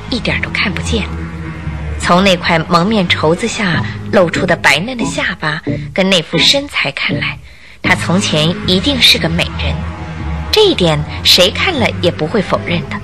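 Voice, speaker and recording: A woman narrating a radio drama as an expressive storyteller with scripted, dramatic delivery. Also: lowish voice. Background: background music.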